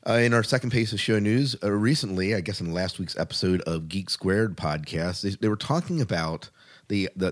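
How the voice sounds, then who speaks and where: A man hosting a podcast in a casual, chatty way. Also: sits low. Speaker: podcast host.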